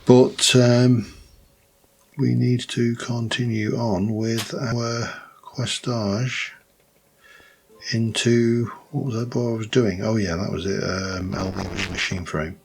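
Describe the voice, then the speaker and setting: A man with a light Yorkshire accent, rambling through casual gameplay commentary with many pauses and restarts. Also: on the low side, creaky. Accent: light Yorkshire English. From Yorkshire, England. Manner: rambling, thinks aloud with many ums and restarts. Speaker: let's play host.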